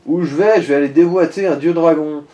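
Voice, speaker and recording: A man's voice: fairly high pitch, brisk, warm tone, muffled recording. A man giving casual, slangy Let's Play commentary and coaching a player, with some swearing. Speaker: gaming co-host guiding a player.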